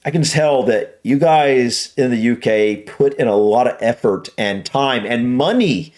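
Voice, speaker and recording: A man's voice: mid-range voice, unhurried, a crowd in the background. A man with an American accent hosting a reaction video, talking in a casual, rambling, opinionated way and often repeating himself. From United States. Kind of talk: casual conversational commentary.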